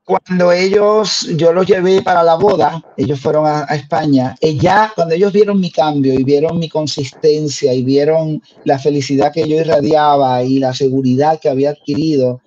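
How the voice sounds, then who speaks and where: An older man from Puerto Rico, a guest singer telling his story in an interview, earnest and unhurried. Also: fairly high pitch, fairly even intonation, darkish tone. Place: home room. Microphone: headset on video call. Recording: dull lo-fi video call audio.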